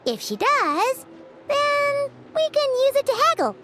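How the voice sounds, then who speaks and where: Squeaky, bubbly little fairy girl. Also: wildly swooping pitch, unhurried, bright, forceful tone, a little hiss or hum, heavily acted. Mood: scheming, playful. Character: chirpy, excitable little fairy girl companion. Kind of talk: animated video game dialogue.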